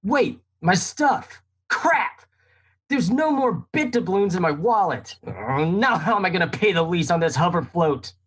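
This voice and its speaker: An actor playing a hungover, cranky young float racer with a husky voice and exasperated, whiny delivery in a comic radio drama. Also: medium pitch, expressive, measured pace, a bit bright.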